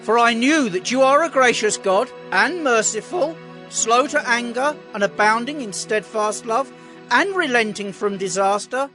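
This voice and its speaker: Casting: male actor as distressed biblical prophet, very high voice, slow and impassioned, music behind. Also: expressive, bright, forceful tone, exaggerated voice. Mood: bitter pleading. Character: Jonah, anguished male Hebrew prophet praying in distress. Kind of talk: dramatized scripture reading. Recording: music under the voice.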